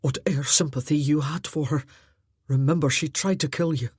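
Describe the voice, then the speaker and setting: Callum, a woman doing a protective Highland husband. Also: steady tone, normal speed, a little mellow, slightly rough, dry recording, studio quality. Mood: urgent, protective.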